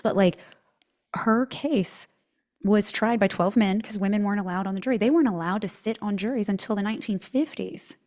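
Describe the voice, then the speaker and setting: A woman's voice: medium pitch, a little fast, a little mellow. A woman hosting a podcast interview, outspoken and chatty, who laughs often and loses her train of thought. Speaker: podcast host. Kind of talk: casual interview chat.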